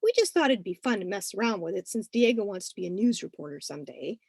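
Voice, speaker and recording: A woman voicing an excitable, playful fifteen-year-old boy who talks in teasing slang, in a story reading. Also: medium pitch, fast talker, gravelly, husky, dry recording, clean studio sound. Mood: cheerful. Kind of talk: audiobook-style character reading.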